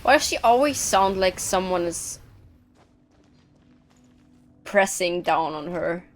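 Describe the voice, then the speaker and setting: A woman's voice: lowish voice, lively intonation, slow. A woman giving casual live commentary as a game streamer, wry and blunt.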